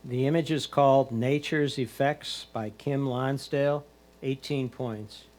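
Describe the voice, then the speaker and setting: A man of medium pitch acts as the score announcer for a competition. He reads out titles, names and scores, following the same formula each time. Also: steady tone, unhurried, darkish tone.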